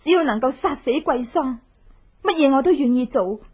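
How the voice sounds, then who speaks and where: An actress with a Hong Kong Cantonese accent plays a determined, desperate widow bent on revenge in an intense, melodramatic horror radio drama. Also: female voice, high voice, expressive, moderate pace, a little mellow. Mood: urgent, eager. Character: vengeful widow in hiding, determined, desperate, posing as beggar. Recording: muffled lo-fi radio drama recording.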